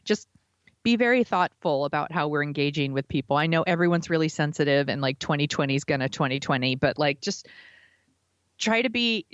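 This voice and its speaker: The sound is dry and somewhat dull, a lo-fi microphone. An American woman speaks in a lowish voice as a podcast co-host. She chats casually in slangy, informal phrasing. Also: animated, brisk, clean tone, no room sound. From United States. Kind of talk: casual chat.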